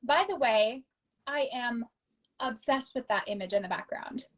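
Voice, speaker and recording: A woman's voice: fairly high pitch, a little slow, fairly bright tone, muffled recording. A woman hosting an interview over a video call, enthusiastic and effusive with her guest. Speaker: show host, interviewer. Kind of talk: casual interview chat.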